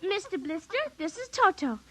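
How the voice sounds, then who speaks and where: A woman's voice: extremely high pitch, very animated, moderate pace. A woman in a 1950s radio drama playing a small willful girl with a pleading, bossy, childlike manner. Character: small willful girl, doting, quick to pout. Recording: noisy vintage radio recording.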